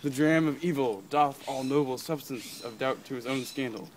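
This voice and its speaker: A man's voice: medium pitch, moderate pace, lightly acted. A man playing a brooding young prince in an amateur Shakespeare reading, declaiming and sometimes stumbling over the lines. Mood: scornful, musing. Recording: some background noise.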